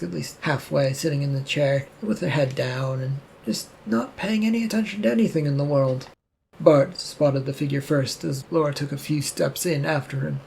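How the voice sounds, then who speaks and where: A man with a raspy voice reading scripted story narration. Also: on the high side, a little mellow, slight background noise, poor audio.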